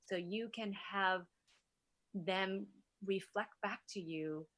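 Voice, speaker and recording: A woman's voice: medium pitch, slow, fairly bright tone, no room sound. A middle-aged woman from Vietnam, a native Vietnamese speaker, talks effusively and enthusiastically as a guest in a conversational interview. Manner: effusive, enthusiastic, frequent rhetorical asides. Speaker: interview guest, wellness entrepreneur.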